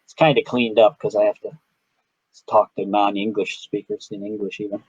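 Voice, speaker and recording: A man's voice: on the low side, flat delivery, moderate pace, a little mellow, breathy edge, no room sound. A man with a Chicago accent wanders through anecdotes, restarting his sentences as he goes. The sound is dry and a little muffled, as over a video call. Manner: rambling, self-interrupting storyteller. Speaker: guest artist. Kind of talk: casual video-call interview.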